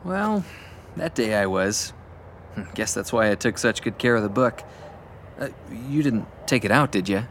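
A man playing an easygoing, affectionate middle-aged dad in an audio drama, casual and gentle in manner. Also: lowish voice, lively intonation, normal speed, a little hiss or hum. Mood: reminiscing.

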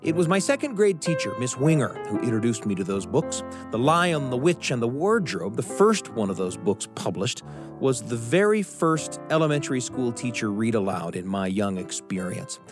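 A man hosting a radio show and telling stories in a deliberate, scripted style with dramatic phrasing. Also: mid-range voice, big pitch swings, music in the background. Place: radio studio.

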